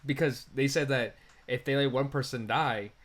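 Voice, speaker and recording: A man's voice: medium pitch, moderate pace. A man co-hosting a film review podcast in casual, slangy talk with some swearing.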